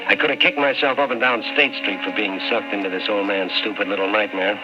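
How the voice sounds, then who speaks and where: A man's voice: on the low side, steady tone, brisk, over music, lightly acted. An actor playing an impatient, tough, sceptical city man in an old-time radio drama, brusque and insistent. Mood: uneasy narration. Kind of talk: old-time radio drama acting with first-person narration. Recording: muffled, noisy old-time radio recording.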